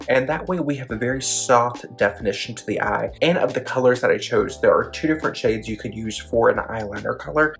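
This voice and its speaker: A man narrating a makeup tutorial, gently explaining each step in detail. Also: vocal fry. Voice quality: describes own voice as deep.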